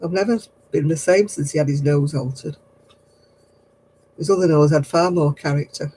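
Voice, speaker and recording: An older woman chatting casually on her craft livestream, answering viewers in a low, husky voice and a rambling, gossipy way. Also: moderate pace, warm tone, slight background noise, poor audio. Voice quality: low, husky voice after throat surgery.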